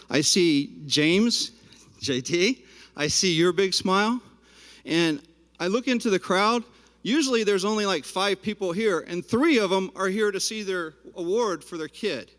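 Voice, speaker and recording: A man's voice: high-pitched, a bit bright. A man speaking as a parent during public comment at a school board meeting, confrontational and addressing board members directly. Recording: reverberant hall.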